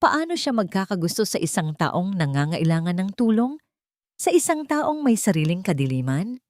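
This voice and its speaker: A woman's voice: mid-range voice, big pitch swings, moderate pace, darkish tone, no room sound, clean studio sound. A woman narrating a scripted, dramatic romance story.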